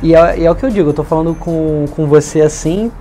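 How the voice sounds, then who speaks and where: A man in his twenties with a softened Rio de Janeiro accent and hushing s sounds, explaining things in a casual interview. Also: a little high, quick pace, soft background music. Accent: softened Rio de Janeiro Brazilian. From Brazil, Rio de Janeiro. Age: 20s. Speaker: interview guest, leader of a community media project.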